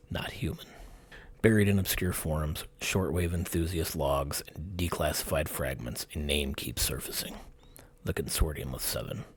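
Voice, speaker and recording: A man with a husky, gravelly voice narrates a scripted mystery documentary in short, suspenseful, dramatic phrases. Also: extremely low pitch. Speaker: channel host narrator.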